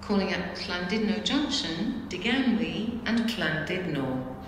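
A woman's voice: on the low side, lively intonation, a little slow. A woman reads formal automated railway station announcements in clipped, pieced-together phrases over a public-address system. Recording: echoing station PA, noisy, long reverb.

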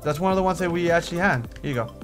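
Male gaming host with a slightly high voice, casual roleplay chat, faint music behind. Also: very fast, a bit bright. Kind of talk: casual Minecraft roleplay banter.

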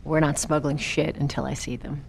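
An actress playing a tough, blunt smuggler with an American accent in a video game cutscene, curt and hard-nosed. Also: female voice, low voice, little pitch movement, moderate pace, gravelly, slight background noise. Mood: firm, demanding.

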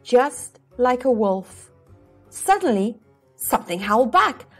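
High-voiced, animated woman storyteller. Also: slow pace, slightly rough, soft background music. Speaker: storyteller, channel host. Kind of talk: children's storybook read-aloud.

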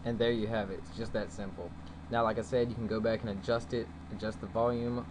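A man giving a casual, folksy software tutorial as its host. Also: fairly low pitch, steady tone, brisk, over music. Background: background noise.

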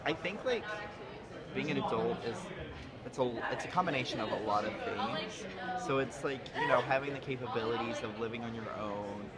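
A man's voice: mid-range voice, brisk, a loud crowd. A nineteen-year-old man answering interview questions in a campus lounge, hesitant and full of fillers. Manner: hesitant, filler-heavy with lots of "like".

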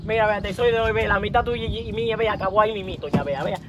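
Fast-talking agitated young man. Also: high voice, loud music behind the voice. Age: young adult. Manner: agitated, defensive. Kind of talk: comedy sketch acting.

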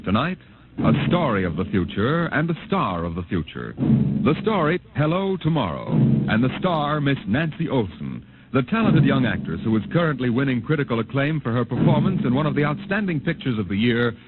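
Over clear background music on a narrow, muffled old radio recording, a male announcer narrates dramatically. His mid-pitched voice delivers scripted 1950s radio narration. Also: big pitch swings, moderate pace.

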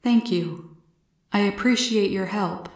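A woman's voice: mid-range voice, slow, a little mellow, reverberant. A woman voicing a curious, blunt but polite male alien with formal, literal phrasing in a storybook. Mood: polite, matter-of-fact. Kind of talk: storybook character voice.

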